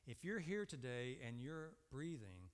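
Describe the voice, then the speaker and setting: A man's voice: medium pitch, sing-song, a little slow, clear, resonant tone. Guy in his 50s preaching, lots of short questions and repeats, emphatic, big echoey church hall sound. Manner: asks the congregation short rhetorical questions and answers them. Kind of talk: sermon. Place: indoor church stage at a lectern.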